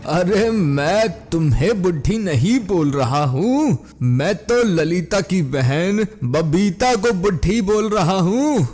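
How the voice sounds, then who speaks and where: A man acts out a flustered old villager in a cartoon story. His voice is high and drawn out as he repeats himself in amazement, over faint music. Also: lively intonation, slow, a little mellow, breathy edge, heavily acted. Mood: puzzled, placating. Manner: repetitive, astonished exclaiming. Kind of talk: exaggerated cartoon story acting.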